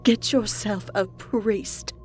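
A woman narrator voicing a fanatical, mocking woman patient in religious ecstasy, proclaiming in drawn-out phrases for an audiobook. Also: high voice, soft background music, voice pushed up. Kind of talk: dramatic audiobook character acting.

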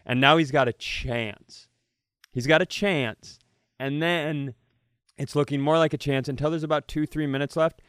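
A man's voice: a little high, steady tone, moderate pace, a bit bright, clear, resonant tone, no room sound, studio quality. A man co-hosting a comedy podcast, telling casual comic stories with profane, jokey banter.

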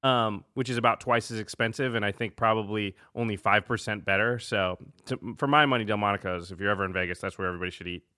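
Bright-voiced enthusiastic man, dry sound. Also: lowish voice, fast talker, hardly any pauses, no echo. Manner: enthusiastic, recommending, loose. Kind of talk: casual chat.

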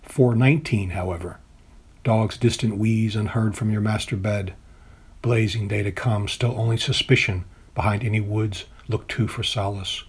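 Male poet, raspy and lowish, measured and deliberate reading of literary verse. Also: steady tone. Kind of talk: poetry reading of own work.

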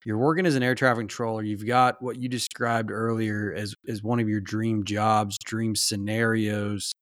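A man's voice: fairly low pitch, level tone, normal speed, fairly bright tone, dry recording. Male interviewer, dry close sound. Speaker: podcast host, interviewer. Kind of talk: conversational interview questioning.

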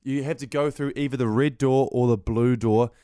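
A man's voice: mid-range voice, fairly even intonation, moderate pace, warm tone, clean tone, no room sound, studio quality. A man with a New Zealand accent, a radio producer chatting casually, insistent and argumentative. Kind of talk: casual chat.

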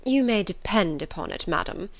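A woman's voice: mid-range voice, expressive, normal speed, no room sound, low-quality recording. A woman voicing a haughty young lady in an audiobook, cool, formal and coldly polite. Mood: cold civility. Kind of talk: audiobook character voice.

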